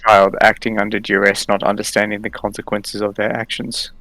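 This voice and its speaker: Low-voiced hesitant man. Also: level tone, fairly quick, pushed and bright. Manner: hesitant, stammering restarts. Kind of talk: casual discussion.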